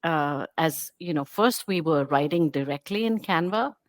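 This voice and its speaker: A middle-aged woman with British-learned English telling stories as an interview guest, animated and full of quoted asides. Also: deep voice, moderate pace, dry recording, clean studio sound. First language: English learned in British form, also speaks Hindi. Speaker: guest, bilingual book creator. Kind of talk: casual interview chat.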